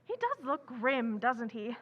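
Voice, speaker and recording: An actress playing an outspoken, impetuous young writer, chattering and blunt, in an old-time radio drama. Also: female voice, a little high, sing-song, a little slow, highs cut off. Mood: candid.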